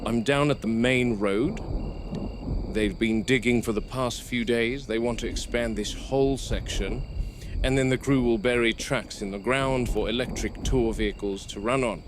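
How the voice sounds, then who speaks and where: An actor playing a wary, weary male game warden at a dinosaur park, narrating a horror audio log in a measured, grave way. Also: mid-range voice, natural voice. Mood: uneasy, dry. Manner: measured, grave, deliberate field commentary. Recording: noisy VHS-style field recording with outdoor ambience.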